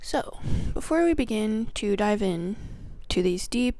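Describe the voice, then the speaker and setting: A woman teaches the energies of the zodiac at a slow, deliberate pace. Her voice carries a gravelly, crackling texture, and she often softens into a whisper as she lists qualities one by one. The sound is close and dry, with no room echo. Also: medium pitch, expressive. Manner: slow, drawn-out delivery with soft whispered phrases; reverent, lists qualities one word at a time. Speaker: astrology teacher. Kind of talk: spiritual teaching video opened with a prayer.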